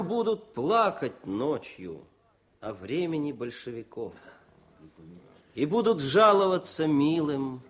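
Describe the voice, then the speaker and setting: An actor playing a grieving young soldier-poet in a Soviet radio drama, solemn and declamatory, intense and set on revenge. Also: male voice, on the high side. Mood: impassioned.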